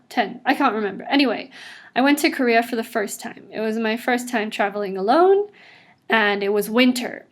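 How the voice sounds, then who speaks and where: A woman in her twenties with a mixed international accent, chatting casually about her own life while drawing. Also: fairly high pitch. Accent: mixed international English. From South Africa. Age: 20s. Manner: chatty and upbeat, with frequent laughs and asides. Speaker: vlogger. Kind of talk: casual personal narration over drawings.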